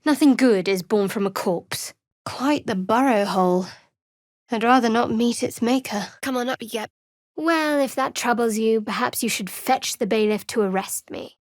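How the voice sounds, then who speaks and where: A woman performs a young female cleric sworn to a dark goddess, voiced for a video game. Her voice sits a little high for a woman. She is guarded and sardonic, with dry, wry phrasing, recorded close with no room sound at all. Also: moderate pace, no room sound, lightly acted. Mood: cool, stern. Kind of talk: video game character dialogue. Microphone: close studio microphone, dry.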